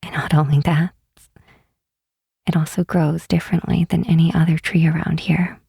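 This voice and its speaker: A woman playing a gentle, wistful demon queen in a soft ASMR roleplay, speaking slowly and tenderly in a breathy, hushed voice. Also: low voice, flat delivery, dry recording, studio quality, exaggerated voice. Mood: wistful, solemn. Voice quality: breathy, whispery. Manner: unhurried, hushed, tenderly reassuring.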